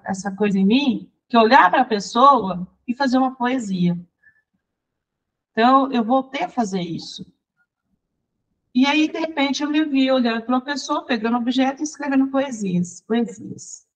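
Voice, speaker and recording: Brazilian lady songwriter chatting away in an interview, kinda high voice, lively. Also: poor audio. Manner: lively, chatty, restarts and laughs while recounting. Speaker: interview guest, songwriter and teacher. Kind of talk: personal storytelling in conversation.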